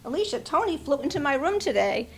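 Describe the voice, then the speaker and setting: A woman's voice: sits high, big pitch swings, fast talker. A woman speaking at a memorial, reading a tribute aloud and adding informal personal asides.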